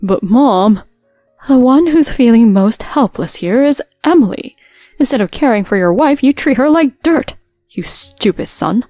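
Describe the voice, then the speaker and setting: Woman reading as a mother-in-law, older, protective, sharp-tongued, dry sound, faint music. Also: medium pitch, expressive, normal speed, no room sound, natural voice. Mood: angry. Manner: gentle toward Emily, sharp toward her son.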